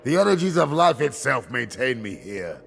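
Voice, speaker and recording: A man's voice: medium pitch, big pitch swings, normal speed, vocal fry, fairly bright tone, husky, heavily acted. A man voicing a defiant holy huntsman in a game, heroic and declamatory. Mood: proud defiance. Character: defiant holy huntsman warrior guarding a sacred oak. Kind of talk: video game cutscene acting. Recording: long reverb, faint noise, ambience.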